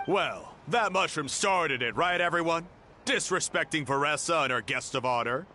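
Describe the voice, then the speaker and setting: A man's voice: a little high, lively intonation, normal speed, sharp tone, slight background noise. A man voicing a spirited, protective leader in an anime-style video game. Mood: spirited, indignant. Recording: music behind the voice.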